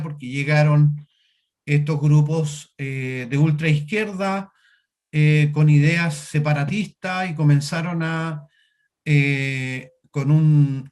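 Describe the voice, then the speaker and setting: A Chilean man speaking as an interview guest over a video call, telling a long personal story in a halting way, with many fillers and restarts. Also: on the high side.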